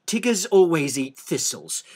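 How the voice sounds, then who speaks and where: A man voicing a friendly, simple toy bear in a storybook reading, gentle and earnest. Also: fairly high pitch, sing-song, measured pace, breathy edge, full character voice.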